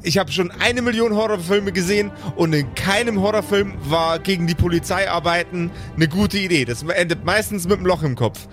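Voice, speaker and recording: A man with a slightly high voice plays a tabletop role-playing game on a show. He talks casually and improvises, with faint music underneath. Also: brisk, hardly any pauses, fairly bright tone. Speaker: player in a pen-and-paper show.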